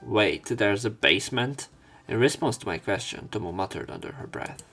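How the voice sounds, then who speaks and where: A man reading visual novel text aloud as a let's play narrator, breaking off into halting, wry casual asides. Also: on the low side, lively intonation, a bit bright. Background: faint game music.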